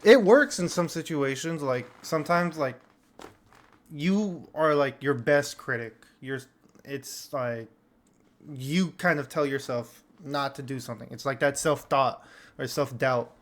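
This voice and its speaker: A young man hosting an interview, asking questions in a halting way full of fillers and loose phrasing. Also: medium pitch. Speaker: podcast interviewer host.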